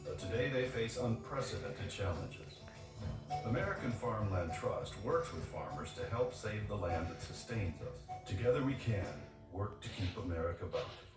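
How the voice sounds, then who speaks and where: A man's voice: on the low side, big pitch swings, moderate pace. A man reading scripted sponsorship narration as an announcer. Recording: echoey, distant TV capture with music. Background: loud music.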